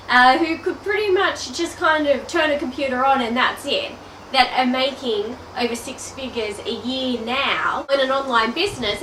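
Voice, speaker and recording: A woman's voice: sits high, fairly bright tone, a little hiss or hum. An Australian woman in her thirties with a stuffy nose from a cold, talking chattily to the viewer as an online business coach. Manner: chatty, addresses the viewer directly. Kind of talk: direct-to-camera video message. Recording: roomy, a bit distant from the mic.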